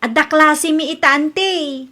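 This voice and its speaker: Exasperated young niece for radio comedy, female actor: very high voice, complaining, defensive, outspoken. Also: a little slow, bright, forceful tone. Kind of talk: radio comedy drama acting.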